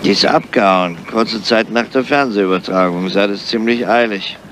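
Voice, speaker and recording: An actor playing a crafty, weary, grumpy police inspector in a radio crime drama, speaking in a dry, measured, deliberate way. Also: male voice, fairly low pitch, natural voice. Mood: matter-of-fact, probing. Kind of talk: 1970s German crime radio drama acting. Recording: noisy, dull old radio drama recording.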